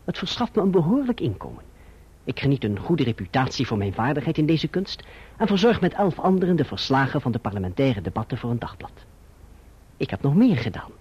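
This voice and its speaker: Young gentleman in love, earnest and polite, animated delivery, husky tone, radio play. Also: medium pitch. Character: eager, courteous young gentleman in love, reflective narrator. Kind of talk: radio drama acting and literary narration. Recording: muffled, dull old radio recording.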